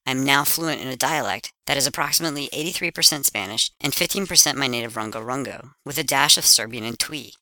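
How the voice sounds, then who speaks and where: A man's voice: medium pitch, bright, forceful tone, smooth, no echo. A man voicing a satisfied language student who earnestly reads out a scripted testimonial. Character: contented adult language learner giving a testimonial.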